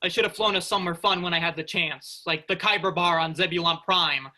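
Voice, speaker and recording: A man's voice: sits high, fairly even intonation, brisk, sharp tone. A man playing a cocky, eager young spaceship worker in a staged reading of a sci-fi comedy. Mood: relaxed, playful. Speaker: actor in a student play reading.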